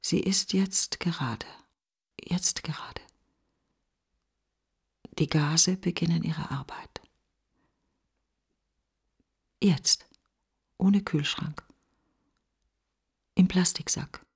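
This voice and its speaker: Hushed, creaky-voiced grieving woman. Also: lowish voice, in a whisper, heavily acted. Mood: mournful. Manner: hushed, halting, fragmentary phrases. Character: grieving woman brooding on her dead best friend. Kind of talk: literary radio-play monologue.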